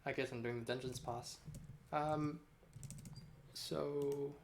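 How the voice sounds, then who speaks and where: A man's voice: medium pitch, fairly even intonation, slow pace, slight background noise. A man chatting casually and unscripted on a game development livestream, rambling as he thinks aloud and swearing freely.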